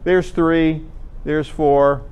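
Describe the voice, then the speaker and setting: A man's voice: a little high, fairly even intonation, very slow, darkish tone, clean tone. A man giving an informal, explanatory slide presentation to a group in a conversational way. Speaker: presenter at an amateur radio club talk.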